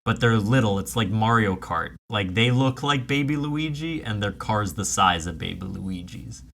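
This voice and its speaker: A man's voice: on the low side, lively intonation, normal speed, gravelly, fairly bright tone. A man hosting a comedy podcast, riffing casually in improvised banter. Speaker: podcast host.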